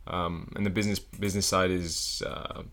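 A young man from South Florida with a very deep, low voice guests on a casual podcast. He talks thoughtfully and hesitantly, filling pauses with ums as he works out what to say. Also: level tone, fairly bright tone. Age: young adult. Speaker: podcast guest, engineering student.

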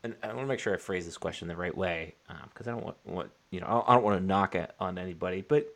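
A man's voice: low voice, quick pace, vocal fry. A man co-hosting a casual podcast chat, asking informal, roundabout interview questions.

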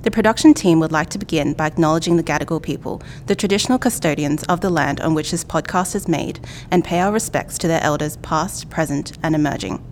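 A young woman hosting a student podcast, reading a polite introduction and asking measured interview questions. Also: low voice, darkish tone, slight background noise. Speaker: podcast host.

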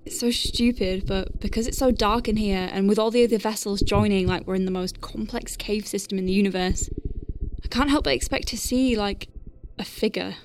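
A woman's voice: medium pitch, fairly even intonation, normal speed, soft background music, lightly acted. A woman playing an anxious but wry medic piloting a craft in a science fiction audio drama, thinking aloud in technical terms. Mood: wry, nervous.

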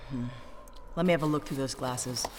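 A man's voice: medium pitch, normal speed, natural voice. A man playing a blunt, impatient, sarcastic adventurer in a sci-fi audio drama. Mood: dry, wary. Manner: dry, sarcastic, curt. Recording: long cave reverb, faint noise and ambience.

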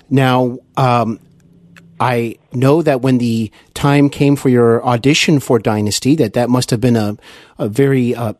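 Polite podcast host guy, mid pitched, measured with little pauses, dry recording. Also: a little mellow, dry recording. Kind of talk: polite conversational interview.

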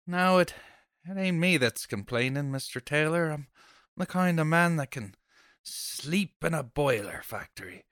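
A man's voice: a little high, animated, unhurried, a bit bright, no echo, clean studio sound. A man playing a nosy, chatty, stubborn off-duty policeman neighbor in a table read of an old radio horror play, with folksy, blustery speech.

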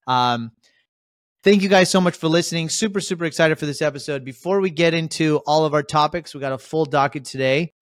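A man hosting a casual podcast, upbeat and rambling, with lots of shout-outs. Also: a little high, clear, resonant tone, dry recording, studio quality. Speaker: podcast host.

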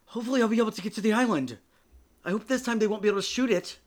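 A man's voice: high voice, racing, pitched above the performer's own voice, natural voice. A man voicing an obsessive, anxious young woman patient who pleads in breathless outbursts. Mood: frantic.

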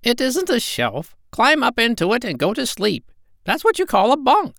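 High shrill know-it-all parrot, a seasoned female sailor, as a male storyteller puts her on. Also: huge pitch swings, moderate pace, vocal fry, a bit bright, no echo, clean studio sound, heavily acted. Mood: bossy.

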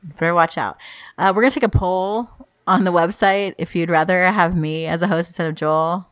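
A woman's voice: on the low side, fairly even intonation, moderate pace, no echo. A woman with a rich voice, co-hosting a podcast in casual, teasing chat. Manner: playful teasing. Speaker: podcast co-host.

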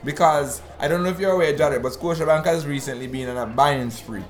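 A man's voice: on the high side, brisk. A man from the Eastern Caribbean co-hosting a talk show, explaining finance news in a conversational way with frequent restarts. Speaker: podcast co-host. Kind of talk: conversational analysis.

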